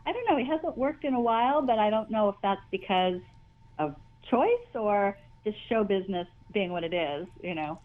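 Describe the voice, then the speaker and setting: An older woman with a high voice, chatting in an interview as a guest, talking in long rambling runs full of restarts and laughs. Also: lively intonation, moderate pace, phone-quality sound. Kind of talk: casual interview chat.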